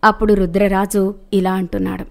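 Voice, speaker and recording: A woman's voice: medium pitch, little pitch movement, fairly quick, gravelly, a little mellow. A woman reading a scripted moral story as its narrator.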